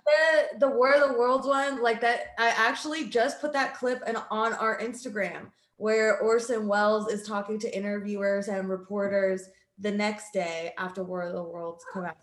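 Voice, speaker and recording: A woman chatting casually in a group, retelling stories in an animated, sweary way. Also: medium pitch, moderate pace, hardly any pauses, echoey room.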